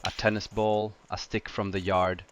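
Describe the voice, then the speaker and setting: A man delivers scripted first-person horror narration in deliberate short phrases with dramatic pauses. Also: lowish voice, flat delivery, background noise.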